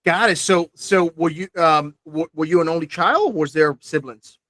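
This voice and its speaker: Upbeat male podcast host, a bit high. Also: normal speed, a bit bright, no room sound. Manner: friendly, scripted intro then chatty questions with restarts. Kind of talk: podcast interview.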